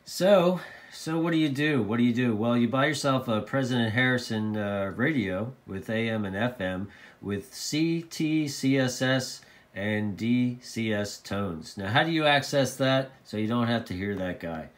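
A man's voice: medium pitch, animated, measured pace. Man giving a casual radio tutorial. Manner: informal, repeats phrases for emphasis. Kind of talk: hands-on product demonstration, casual explaining. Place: indoors at a desk.

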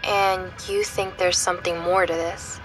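A woman's voice: fairly low pitch, little pitch movement, measured pace, music in the background, natural voice, poor audio. An actress playing a curious, attentive young woman investigator listening on a call in an audio drama.

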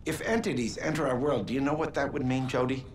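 An actor playing an earnest middle-aged scientist in a dramatic video game, speaking with grave, pleading persuasion. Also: male voice, medium pitch, fairly even intonation, fast, slight background noise, close to an everyday voice. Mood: grave, persuasive.

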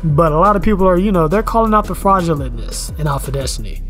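Man in his thirties, fitness vlogger, fairly high voice, rambling rant, faint music behind, indoor room. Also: expressive, fast, a bit bright. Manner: ranting, repeats words and "you know what I'm saying". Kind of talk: casual commentary rant. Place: indoors at home, in front of a camera.

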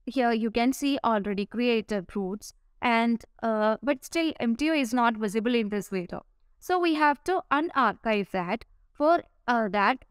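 A woman narrating a step-by-step software tutorial over a screen recording, pausing often with "uh" fillers. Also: fairly high pitch, clean tone, no room sound, studio quality. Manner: explains step by step with frequent "uh" fillers.

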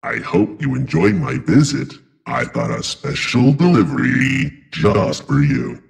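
Gravelly, taunting animatronic showman bear. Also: mid-range voice, wildly swooping pitch, exaggerated voice. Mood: smug, taunting. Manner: slow, taunting, theatrical. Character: smug, menacing male animatronic bear entertainer. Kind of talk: video game character voice lines.

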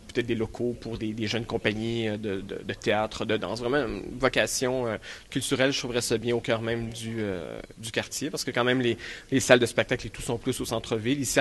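A man with a Québécois accent, a longtime resident answering a vox pop with many fillers. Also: medium pitch. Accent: Québécois French. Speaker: lifelong neighborhood resident.

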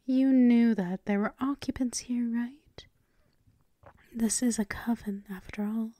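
A woman playing a seductive, dominant female vampire mistress in an ASMR roleplay, mostly in a breathy, purring whisper, teasing and possessive. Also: fairly high pitch, fairly even intonation, mellow, no room sound, studio quality. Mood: teasing, luring. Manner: slow, purring, lingering with hums.

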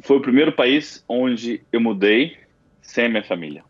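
A man's voice: medium pitch, expressive, normal speed, slightly rough, no room sound, lo-fi sound. A man with a Brazilian Portuguese accent, an interview guest talking casually, rambling with restarts and fillers. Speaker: interview guest, university alumnus.

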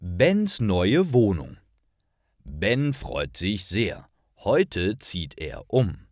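Deep-voiced man narrating slowly and expressively. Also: no room sound, studio quality. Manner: clear, careful, expressive enunciation. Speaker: narrator of stories for language learners. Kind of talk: slow scripted story narration for learners.

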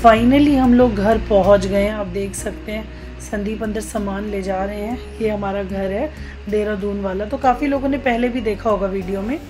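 A woman's voice: medium pitch, steady tone, normal speed, over music. A woman in her thirties from Uttarakhand, India, narrating a casual vlog in a chatty way, talking directly to viewers. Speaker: travel vlogger.

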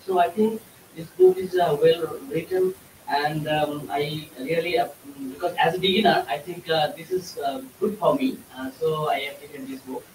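A man from Bangladesh with a Bangladeshi accent, a course participant giving halting impromptu feedback and often rephrasing. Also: on the high side, big pitch swings, darkish tone. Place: room with other participants. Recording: echoey room, far mic, faint hiss.

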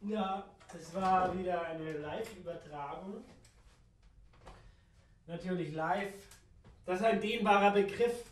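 A woman's voice: fairly low pitch, takes it slowly, pauses often, a little hiss or hum. A woman with a southern German or Austrian accent performing an improvised, absurdist spoken monologue with playful, rambling repetition. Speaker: radio play performer. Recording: roomy echo, distant microphone.

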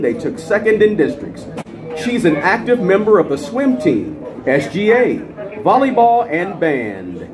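A middle-aged American man doing live high school stadium PA announcing on a handheld microphone, with a ceremonial, drawn-out delivery of names. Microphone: handheld dynamic microphone. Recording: crowd noise behind outdoor announcing. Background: crowd.

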